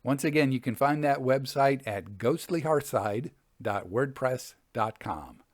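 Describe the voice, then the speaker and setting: A man hosting and reading Victorian ghost stories in a measured, expressive storytelling manner. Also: mid-range voice. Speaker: podcast host and reader of ghost stories.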